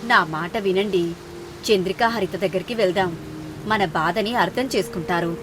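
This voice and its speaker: A woman's voice: mid-range voice, moderate pace, noisy background, close to an everyday voice. A woman voicing a distressed older aunt, an earnest wife who pleads and coaxes plaintively in a moral story. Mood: coaxing. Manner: plaintive, coaxing. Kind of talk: moral story character dialogue.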